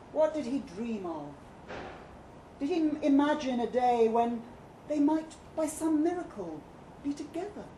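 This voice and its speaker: Slow, dramatic woman storyteller in echoey room. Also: on the high side. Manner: expressive, dramatic, deliberate. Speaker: story reader at a live event. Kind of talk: theatrical live short-story narration. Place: live reading event in a London pub. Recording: echoey live room, background noise.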